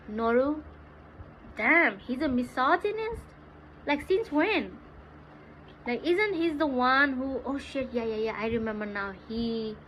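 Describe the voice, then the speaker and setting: A woman's voice: a little high, a bit bright. A woman with a Nepali accent chatting casually and playfully as a livestream host. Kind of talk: casual livestream chat, mixing English and Hindi. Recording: dull lo-fi livestream audio, clear background noise.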